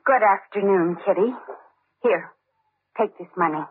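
Radio drama, vintage narrow sound: troubled saloon woman, genteel and wistful, slightly husky. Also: medium pitch, unhurried. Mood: polite, guarded. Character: troubled, faded woman saloon drinker, secretly a poisoner. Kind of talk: old-time radio drama acting. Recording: tinny, band-limited old radio recording.

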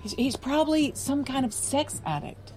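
A woman's voice: high-pitched, lively intonation, normal speed, darkish tone, soft background music. A woman playing a judgmental, bossy friend in an audio drama, with a scolding, insistent manner. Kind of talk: naturalistic audio drama acting.

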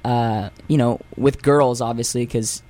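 Young guy on a radio show, middle pitch voice, rambly and jokey about himself, lots of ums. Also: fairly even intonation, unhurried, warm tone. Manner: rambling and self-deprecating, many fillers and restarts. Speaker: guest singer. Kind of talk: casual radio interview. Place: radio studio.

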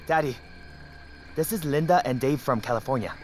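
An actor playing a friendly local son who guides travelers in an informal, reassuring way. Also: male voice, medium pitch, fairly quick, lightly acted. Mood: eager. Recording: off-mic voice in noisy ambience.

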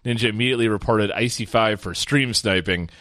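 A man's voice: lowish voice, a little fast, a bit bright, no room sound, studio quality. Joking male esports podcast host. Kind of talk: casual chat and news rundown.

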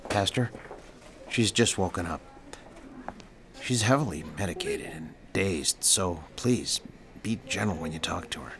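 An actor playing a cautious, concerned hospital doctor giving firm instructions in an audio drama. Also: male voice, deep voice, wildly swooping pitch, unhurried, long pauses, background noise, exaggerated voice.

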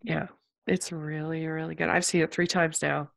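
A woman's voice: sits low, level tone, normal speed, vocal fry, a bit bright. A woman with a congested, sick-sounding voice, hosting a casual podcast as a film critic, chatty with lots of fillers. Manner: chatty, many fillers and restarts.

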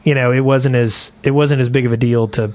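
A man's voice: medium pitch, steady tone, fairly quick, a little mellow. A man co-hosting a podcast, chatting casually about a video game in a rambling way that often repeats phrases. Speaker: podcast co-host.

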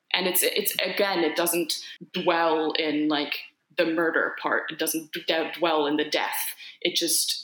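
A bright, forceful-voiced woman from Finland talks loosely as an interview guest, circling back and restarting her thoughts as she goes. Her voice rings out in a noticeably roomy, echoey space. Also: deep voice. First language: Finnish. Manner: rambling, many restarts and fillers. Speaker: actor guest. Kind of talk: casual interview chat.

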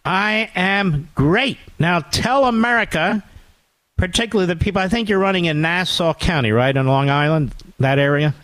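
A man's voice: high voice, normal speed, fairly bright tone, no room sound. A man in his sixties hosting a talk radio show, speaking emphatically and combatively.

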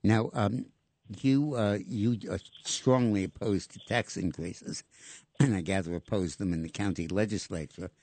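A man's voice: on the low side, normal speed, a little mellow, dry recording. A man hosting a talk interview, wordy and repeating himself as he sets up questions. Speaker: radio talk host. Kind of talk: interviewing a guest.

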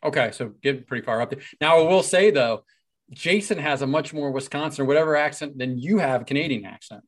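Middle-aged male co-host, mid-pitched, jokey, loose rambling questions. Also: a little fast. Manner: joking, asks rambling multi-part questions. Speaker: podcast co-host. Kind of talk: casual interview chat.

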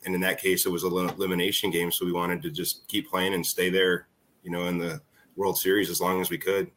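A man's voice: low-pitched, little pitch movement, a little fast, fairly bright tone, slight background noise. A man, a former ballplayer, casually reminiscing as an interview guest in a matter-of-fact way. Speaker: former college baseball player, guest.

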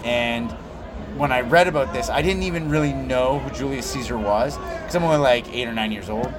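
A man from Belgium, a comic writer, answering interview questions in a relaxed, storytelling way with lots of "like"s. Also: medium pitch, moderate pace, background noise.

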